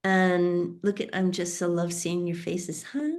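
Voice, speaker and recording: A woman's voice: on the low side, flat delivery, measured pace, a little mellow. A woman hosting an online sew-along, chatting casually on a group video call.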